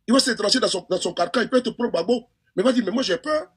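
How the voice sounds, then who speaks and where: Fervent husky Ivorian man, quick and high. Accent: Ivorian French. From Côte d'Ivoire. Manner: fervent, repetitive, many restarts and fillers. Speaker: political commentator. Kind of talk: impassioned political talk.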